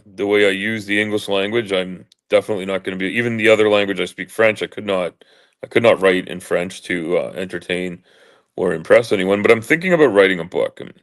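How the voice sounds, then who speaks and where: A man in his late forties with a deep booming voice, talking haltingly with many fillers while in pain and trying to keep his voice even. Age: 40s. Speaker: vlogger. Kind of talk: casual monologue.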